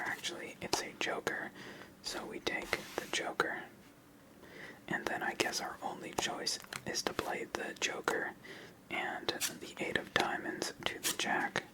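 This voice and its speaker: A person whispering ASMR game commentary close to the microphone, explaining each step as they go. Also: rough recording. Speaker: host playing a solitaire card game.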